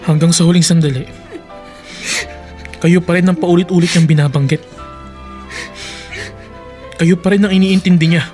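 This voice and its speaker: An actor playing a bitter, grieving eldest son in an emotional, declamatory Tagalog radio drama style. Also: male voice, high voice, a bit bright. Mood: restrained bitter grief.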